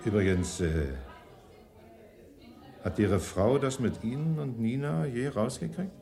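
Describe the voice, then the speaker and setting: An actor playing a smug, jovial, cynical schemer, theatrical and goading, in a German radio crime play. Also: male voice, medium pitch, unhurried, gravelly, warm tone. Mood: sly.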